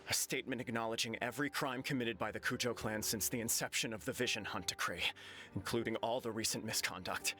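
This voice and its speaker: An actor voicing a composed male clan elder in a video game, speaking calmly and matter-of-factly. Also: medium pitch, quick pace, lightly acted. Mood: earnest. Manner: calm, matter-of-fact. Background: game music.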